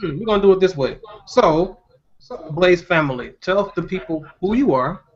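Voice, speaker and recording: A man hosting a casual group discussion, talking in an animated, teasing way. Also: sits high, moderate pace. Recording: dull lo-fi audio.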